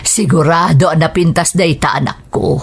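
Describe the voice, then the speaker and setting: A woman's voice: low-pitched, normal speed, gravelly, breathy edge, exaggerated voice. An actress with an Ilocano Filipino accent playing a supportive, reassuring mother of a grown son in a radio drama. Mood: affectionate.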